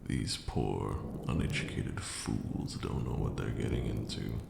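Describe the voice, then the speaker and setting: A man playing an ancient, brooding vampire lord in a slow ASMR roleplay monologue, hushed and grandiose, with measured archaic phrasing. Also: heavily acted. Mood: calm, disdainful. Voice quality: very deep, gravelly, hushed. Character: ancient male vampire lord, brooding, cruel yet now weary. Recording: roomy echo, audible background noise.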